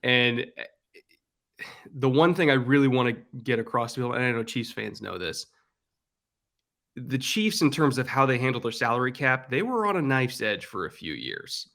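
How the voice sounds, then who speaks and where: A man's voice: mid-range voice, very animated, moderate pace, fairly bright tone. Confident man giving football analysis. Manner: confident, opinionated, explains at length. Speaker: guest analyst. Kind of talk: casual sports analysis chat.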